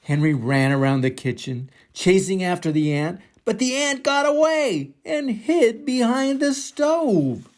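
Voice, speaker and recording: An older man reads a children's picture book aloud in an expressive, dramatic way, drawing out words for emphasis. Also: sits high. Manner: dramatic, deliberate, drawn-out emphasis. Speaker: storybook reader for children.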